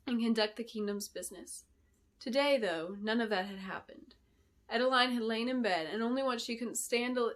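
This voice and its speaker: A woman author presenting and reading her own fantasy story in a storybook narration style. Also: medium pitch. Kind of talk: storybook narration with spoken introduction.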